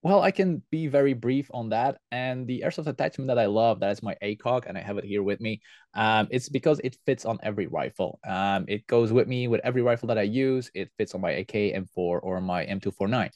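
Podcast guest: male, relaxed and fairly low voice, close dry sound, explains things earnestly. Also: fairly quick, dry recording. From Belgium. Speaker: podcast guest, airsoft team leader. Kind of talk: conversational interview answers.

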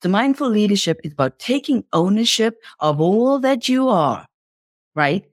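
Female coach as interview guest, mid pitch, emphatic and motivational, repeats for emphasis, dry sound. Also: expressive, normal speed, no echo. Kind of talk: impassioned motivational explanation in a conversation.

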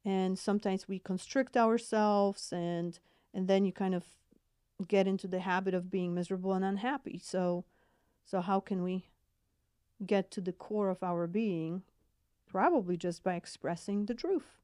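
A woman's voice: mid-range voice, fairly even intonation, moderate pace, mellow, clear, resonant tone, dry recording, clean studio sound. A woman with a Polish accent, co-hosting a podcast in a casual, earnest and hesitant way. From Poland. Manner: earnest, hesitant, repeats words. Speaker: podcast co-host, mental health nurse practitioner.

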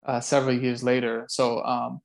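A man from Belgium answers interview questions in a casual way. His voice sits at a medium pitch, and he speaks haltingly, often doubling up on words as he puts his thoughts together. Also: little pitch movement, vocal fry. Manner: halting, repeats words as he goes. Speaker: interview guest, call center site director.

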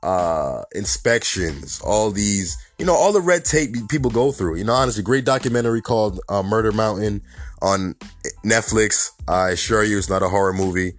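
A man with a New York accent hosting a casual solo podcast, talking in a chatty way full of "you know" and "honestly," his voice raspy and hoarse from a slight cold. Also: lowish voice, animated, normal speed, dry recording, soft background music. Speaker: podcast host.

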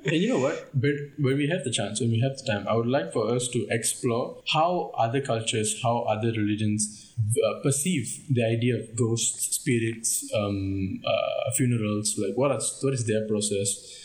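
A man's voice: medium pitch, moderate pace, rough recording. A young man with a Malaysian Indian English accent, co-hosting a casual podcast chat and musing thoughtfully, laughing often. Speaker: podcast co-host.

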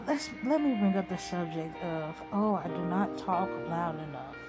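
A woman with a soft, low voice hosts an unscripted solo podcast and talks straight to her listeners. Her chat is loose and rambling, full of slang. Guitar music plays loudly behind her. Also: lively intonation, normal speed. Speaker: podcast host. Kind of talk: casual solo podcast monologue. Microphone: phone.

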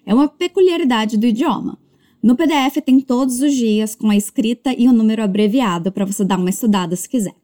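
A woman teaching English as a language lesson, modeling words slowly and carefully before brisk explanations. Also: a little high, dark tone. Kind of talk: slow drilled pronunciation lesson.

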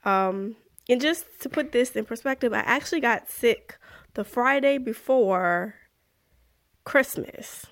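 Slow-talking, chatty congested woman podcaster. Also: fairly high pitch. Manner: chatty, animated, self-deprecating, exclaims "woo, child". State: still a little congested. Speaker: podcast host. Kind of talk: casual podcast chat and story reading.

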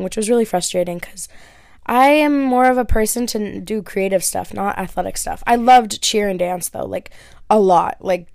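A woman's voice: medium pitch, vocal fry, darkish tone, dry recording. Chatty young woman, dry and close. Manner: chatty, lots of "like" and "um". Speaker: podcast host. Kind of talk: casual Q&A chat. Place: her bedroom.

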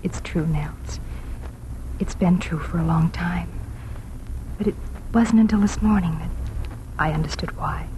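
A woman acting a gentle, sincere, breathy young sweetheart in a 1940s radio drama. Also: lowish voice, measured pace, pauses often, close to an everyday voice. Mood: hushed, tender. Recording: noisy old 1940s radio recording.

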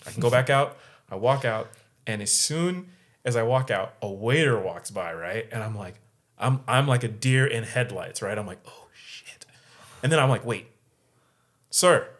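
A man co-hosting a casual podcast, telling a story in an animated way and acting out the people in it. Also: mid-range voice, normal speed. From San Diego area. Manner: animated, acts out quoted voices. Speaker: podcast co-host.

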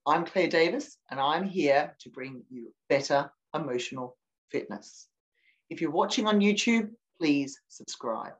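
Woman, English-Australian accent, lowish voice, podcast host, encouraging, attentive. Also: expressive, measured pace, rough recording. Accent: mixed English and Australian. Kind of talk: hosted interview.

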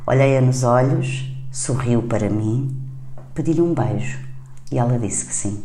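Female poetry host, dark soft-edged tone, echoey room with faint noise. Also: very deep voice, expressive, unhurried. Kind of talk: poetry reading and literary conversation. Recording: roomy echo, faint background noise.

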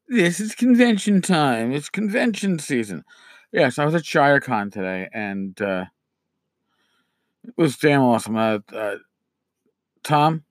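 A middle-aged man hosting a casual solo podcast, his voice scratchy as he loses it, rambling with many pauses and restarts. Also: mid-range voice, no room sound, clean studio sound. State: losing his voice, scratchy throat. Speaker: podcast host.

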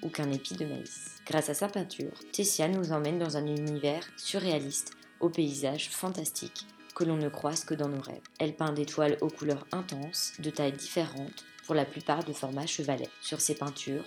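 A woman hosting an art podcast, reading a scripted introduction in a poised, even manner. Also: sits low, moderate pace, hardly any pauses, soft background music. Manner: poised, even read-aloud delivery. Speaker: podcast host.

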